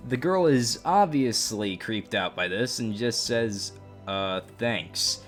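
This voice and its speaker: Male commentary host, lively swinging pitch, expressive and openly appalled, reading chat logs over a music bed. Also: measured pace. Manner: exclamatory, openly disgusted asides. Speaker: YouTube host narrating a story. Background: background music.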